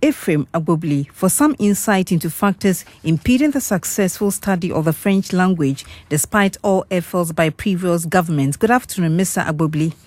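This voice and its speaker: A woman presenting radio news who asks her guest brisk, formal questions. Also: lowish voice, a little mellow, no echo. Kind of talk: news interview.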